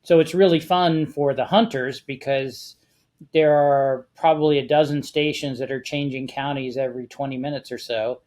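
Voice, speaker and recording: A man with an American accent talking casually and explaining a hobby step by step, with a measured, conversational manner. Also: medium pitch, fairly even intonation, darkish tone. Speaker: amateur radio contest guest expert.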